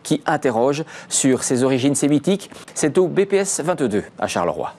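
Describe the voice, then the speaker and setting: A man's voice: medium pitch, sing-song, moderate pace, mellow. A man presenting a programme from a script.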